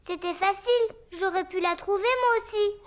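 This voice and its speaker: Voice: very high voice, normal speed, dry recording, faint music behind. A performer voicing a cheerful, confident little girl pig in a French children's cartoon dub, childlike and eager. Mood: eager, curious.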